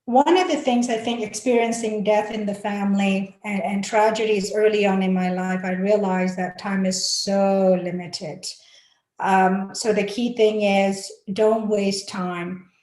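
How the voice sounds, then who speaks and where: A woman's voice: medium pitch, fairly even intonation, a little slow, a little mellow. Woman panelist, motivational and sincere, mid-range voice, roomy echo on the line. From Malaysia. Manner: earnest and encouraging, with frequent fillers and restarts. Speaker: panel speaker. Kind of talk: motivational talk on a webinar panel. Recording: echoey room.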